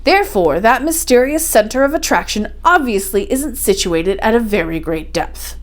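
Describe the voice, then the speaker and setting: A woman's voice: on the high side, expressive, normal speed, natural voice. A woman voicing a learned, confident older professor uncle in a story, speaking in an assured, didactic way. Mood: lecturing.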